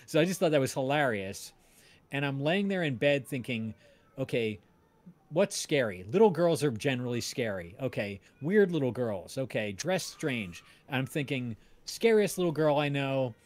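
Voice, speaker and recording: A man's voice: mid-range voice, sing-song. Rambling man telling stories casually. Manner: rambling, halting, self-interrupting. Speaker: podcast creator and voice-drama maker. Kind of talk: casual storytelling on a livestream.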